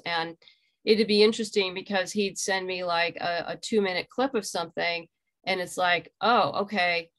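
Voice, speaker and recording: A middle-aged woman giving casual, explanatory interview answers on a video call, haltingly thinking aloud. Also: lowish voice, a bit bright. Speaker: interview guest, audio drama creator. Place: small room at home.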